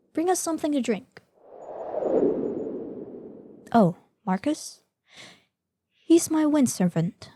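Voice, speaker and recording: An ancient, vain dragoness in human shape, acted by a woman, speaks with a very dark, mellow tone and a breathy softness. Her delivery is slow and imperious, with formal, theatrical phrasing fit for a fantasy audio drama. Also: medium pitch, dry recording. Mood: hospitable, curious. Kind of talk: scripted roleplay audio drama.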